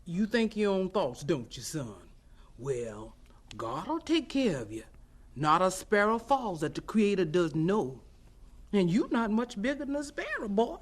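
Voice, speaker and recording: A woman's voice: mid-range voice, very animated, unhurried. A woman playing a devout, shrewd, kindly older churchgoer in a radio drama, folksy and pious. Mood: tender, knowing.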